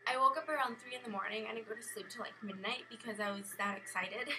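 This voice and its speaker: Young american girl vlogging in her bedroom, kinda high voice, rambly and expressive, chatty storytelling. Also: fast, noisy background. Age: young adult. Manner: animated, expressive, acts out exchanges. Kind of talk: rambling casual vlog storytelling. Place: bedroom at home. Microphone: built-in camera or webcam mic at arm's length.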